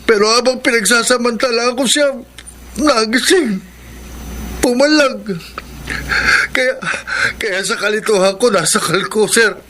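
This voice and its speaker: A man's voice: extremely high pitch, unhurried, bright, forceful tone, slight background noise, heavily acted. An actor playing a young male driver who stammers nervously while confessing to a crime in a radio drama. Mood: remorseful confession. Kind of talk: 1990s radio drama acting.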